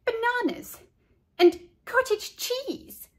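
A woman puts on a squeamish little schoolgirl from a storybook. She is high and swooping, with slow, disdainful, snooty delivery. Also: expressive, exaggerated voice. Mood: disgusted.

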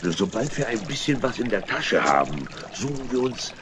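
A man's voice: mid-range voice, very animated, moderate pace, noisy background, lightly acted. A man acting a sly, chummy vagabond in a children's radio play, with a drawling, folksy, wheedling manner. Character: sly, chummy male tramp, rough vagabond.

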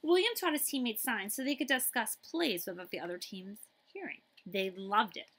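A middle-aged woman reading a children's picture book aloud, with dramatic emphasis and pauses. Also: medium pitch, fairly bright tone, husky.

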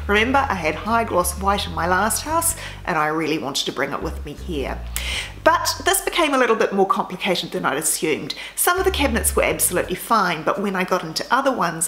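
A woman's voice: lowish voice, fairly bright tone, slight background noise. A woman in her thirties who hosts a home renovation vlog, talking casually to camera in her home kitchen and explaining her choices step by step with plenty of enthusiasm. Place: home kitchen with tiled walls, indoors. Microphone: camera at medium distance, facing her. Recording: roomy kitchen echo with faint background music.

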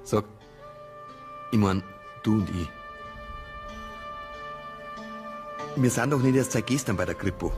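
A dogged Bavarian police detective acted by a man in a radio crime play. He has a low voice and talks in an insistent, colloquial way, full of suspicion. Music plays clearly behind him. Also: normal speed, lightly acted. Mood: brooding, insistent. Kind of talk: naturalistic radio crime drama.

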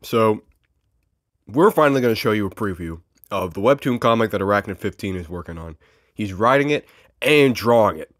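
A man's voice: on the low side, lively intonation, normal speed, no echo, clean studio sound. A man giving a casual video introduction as a presenter and voice actor.

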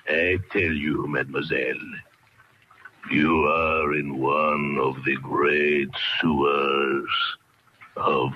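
An actor playing a calm, eerie Frenchman with a French accent, courteous and deliberate, in the style of old radio horror. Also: very deep voice, full character voice. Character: calm, eerie Frenchman lurking in the Paris sewers. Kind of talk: 1940s radio horror acting. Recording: muffled, noisy old radio recording.

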